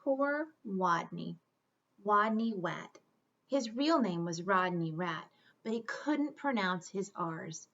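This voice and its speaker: A woman's voice: mid-range voice, expressive, slow pace, a bit bright, muffled recording. A woman reading a picture book aloud as a school storyteller, expressively and with dramatic emphasis. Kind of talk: expressive children's read-aloud.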